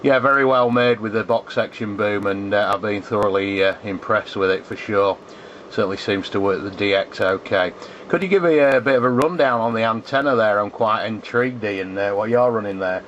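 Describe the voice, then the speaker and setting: A man's voice: fairly low pitch, fairly quick, a bit bright. A man chatting casually as an amateur radio operator, giving callsigns in the phonetic alphabet. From England. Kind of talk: casual ham radio contact chat. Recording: muffled shortwave radio contact, faint static.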